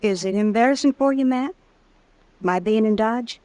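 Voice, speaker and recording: A woman's voice: a little high, moderate pace, dark tone, lightly acted. A woman playing a tough, guarded drifter in an old-time radio western, with a terse, probing way of talking. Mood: wary, wistful. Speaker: radio drama actress. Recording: vintage radio drama recording.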